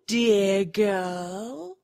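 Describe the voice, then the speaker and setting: A woman playing a vain, wicked, wheedling queen in a fairy tale, with theatrical drawn-out delivery. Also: medium pitch, lively intonation, creaky, mellow, heavily acted. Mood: sly, wheedling. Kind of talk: fairy tale storybook acting.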